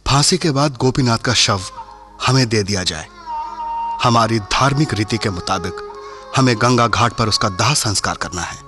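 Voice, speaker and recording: An actor playing a firm, dignified Indian nationalist leader in a radio play, with an earnest, insistent manner. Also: male voice, medium pitch, normal speed, over music, close to an everyday voice.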